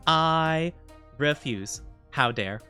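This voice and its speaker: A man's voice: on the high side, lively intonation, extremely slow, pushed and bright, faint music behind. A man streaming live, chatting casually with viewers in a playful, mock-offended way, laughing often, his voice wearing thin. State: losing his voice. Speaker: VTuber streamer.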